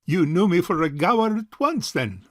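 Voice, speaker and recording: An actor playing a middle-aged bachelor uncle in a stage farce, courtly, hesitant and long-winded. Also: male voice, high-pitched, very animated, moderate pace, dry recording, clean studio sound. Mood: courtly, amused. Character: suave, hesitant rich older bachelor uncle. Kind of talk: stage farce audio drama acting.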